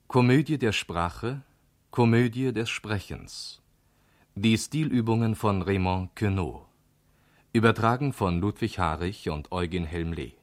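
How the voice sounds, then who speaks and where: A man's voice: lowish voice, a little slow, no room sound. A man reading formal scripted announcements as a radio announcer.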